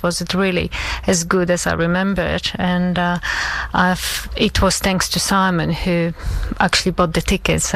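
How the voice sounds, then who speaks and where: A middle-aged woman with a Polish accent speaks as an interview guest. Her voice is on the low side and stays quite flat in pitch. She is earnest and reflective, finding her words haltingly, in a dry recording. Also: gravelly, no echo, low-quality recording. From Poland. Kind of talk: reflective conversational answer.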